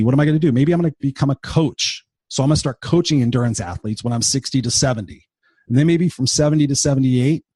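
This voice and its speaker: Husky, blunt fifty-something man. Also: medium pitch, dark tone, dry recording, clean studio sound. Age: 50s. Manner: blunt and profane, emphatic repetition. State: tired and sick. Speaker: motivational podcast host. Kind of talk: unscripted motivational monologue.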